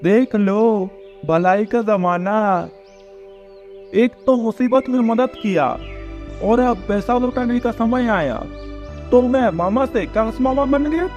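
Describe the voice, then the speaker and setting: A man's voice: very high-pitched, slow pace, a little mellow. A man voicing a smug, aggrieved, greedy village uncle in a cartoon moral story. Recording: dull lo-fi audio with music behind.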